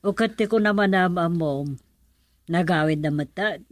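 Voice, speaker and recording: A woman with an Ilocano accent acting a doubtful, probing middle-aged mother and auntie in a radio drama, with drawn-out exclamations. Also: fairly low pitch, slight background noise, lightly acted. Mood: probing, surprised.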